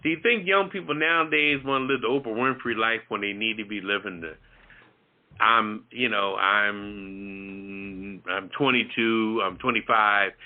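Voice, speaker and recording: A man co-hosting a casual talk show discussion, posing rambling rhetorical questions. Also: on the low side. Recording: muffled, band-limited audio.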